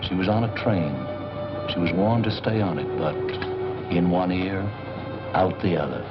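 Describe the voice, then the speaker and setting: An actor playing a courteous, cryptic older seer in a 1940s noir film, speaking in a formal, measured, enigmatic way. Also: male voice, deep voice, natural voice. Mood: ominous storytelling. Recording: dull old film soundtrack with music behind.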